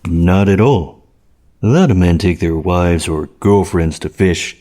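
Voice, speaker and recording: Male actor as audio-drama cop: low, dark-toned, deadpan, politely evasive. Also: measured pace. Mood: guarded, deadpan. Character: male police officer, polite, evasive, matter-of-fact.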